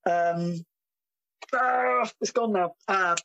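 A man, a drummer being interviewed, talking casually with many ums and pauses. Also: high voice, fairly bright tone, muffled recording. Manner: hesitant, full of ums, laughs easily.

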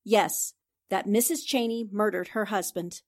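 A woman playing a sharp, confident newspaper reporter in a 1940s-style radio drama, speaking in an assertive, accusing way. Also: medium pitch, unhurried.

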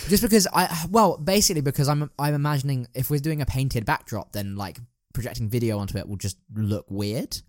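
A man's voice: medium pitch, fairly quick, hardly any pauses, no echo, clean studio sound. A man talking casually and enthusiastically as a podcast host, retelling and discussing a radio drama.

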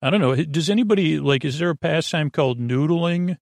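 A man hosting a sleep podcast, talking in a meandering, halting way with a gravelly voice that wanders off into tangents. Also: fairly high pitch, a little mellow, dry recording. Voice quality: creaky, gravelly. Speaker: podcast host. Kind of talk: rambling bedtime sleep podcast monologue.

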